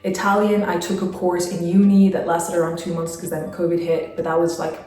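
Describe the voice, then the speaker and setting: A woman's voice: on the low side, monotone, a little fast, dark tone, recorded from a distance, faint music behind. Young woman, Spain-raised travel vlogger, casual rambling Q&A, heavy room echo. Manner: chatty, lots of "like" fillers. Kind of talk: casual Q&A chat.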